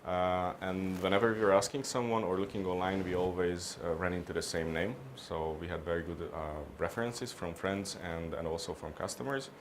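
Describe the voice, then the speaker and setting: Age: unknown. Sex: man. Pitch: deep. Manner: measured, hesitant, restarts often. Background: faint ambience. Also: fairly even intonation, slight background noise. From Hungary. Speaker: interviewee, business consultant. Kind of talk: on-camera business interview.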